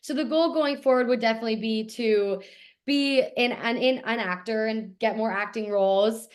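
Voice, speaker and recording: A young woman from Toronto, Canada, with a raspy voice, talking eagerly as a guest in a casual interview. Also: a little high, moderate pace. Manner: eager, gushing, repeats how much she loves things. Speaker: guest actor.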